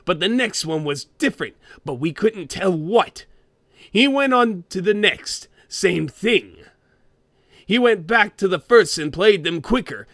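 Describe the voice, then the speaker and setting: A man voicing a greedy, grumpy cartoon crab boss who reads a horror story in a theatrical, expressive way. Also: high-pitched, creaky, no echo, studio quality, heavily acted. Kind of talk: dramatic creepypasta reading.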